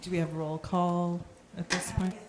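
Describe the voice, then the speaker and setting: Meeting chair, woman, low-ish voice, faint room noise, plain procedural tone. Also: level tone, normal speed. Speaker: commission chair. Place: council chamber.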